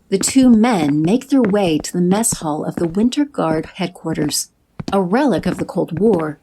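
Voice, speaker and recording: A woman narrating an audio drama in a steady, descriptive way. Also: fairly low pitch, slight background noise, rough recording. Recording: music bed under narration.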